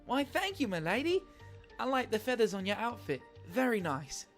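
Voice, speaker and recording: Woman doing a nervy polite cartoon pony, fake british accent, real lively pitch, music under it. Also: moderate pace. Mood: playfully dapper. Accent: put-on British. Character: timid, jumpy young female cartoon pony posing as British professor.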